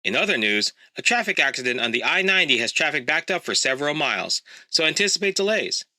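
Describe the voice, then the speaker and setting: A man voices a polished TV news anchor in an audio drama, reading reports in a crisp broadcast manner. The voice sits somewhat high and very bright, recorded dry. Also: very animated, fast talker, dry recording, full character voice.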